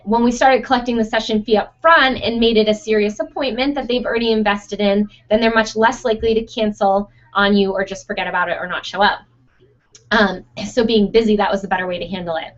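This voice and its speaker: A young woman who runs a photo studio, answering listener questions in a chatty, casual way with frequent fillers and laughs, her voice going hoarse. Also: on the high side. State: losing her voice. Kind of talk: casual Q&A monologue. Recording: dull lo-fi sound.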